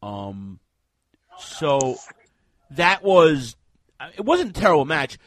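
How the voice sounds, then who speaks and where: A man co-hosting a casual wrestling podcast, talking in a rambling, animated way with many restarts. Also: fairly high pitch, takes it slowly, dry recording. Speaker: podcast co-host.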